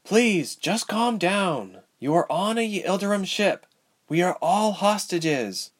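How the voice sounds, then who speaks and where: A man's voice: high-pitched, expressive, slow pace, voice pushed up, exaggerated voice. A man voicing a tough, guarded young woman held hostage in an audiobook story. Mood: firm.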